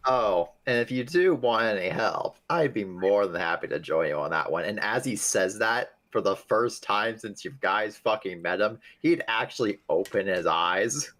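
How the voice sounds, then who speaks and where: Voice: deep voice, animated, normal speed, few pauses, a bit bright. A man improvising character lines and descriptions in a tabletop roleplaying game, in a casual way.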